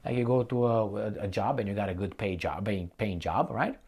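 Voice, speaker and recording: Man giving blunt, halting political commentary. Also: lowish voice, a little mellow, breathy edge. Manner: halting, repetitive, rhetorical questions addressed to the listener; colloquial, blunt. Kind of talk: unscripted opinionated monologue to viewers.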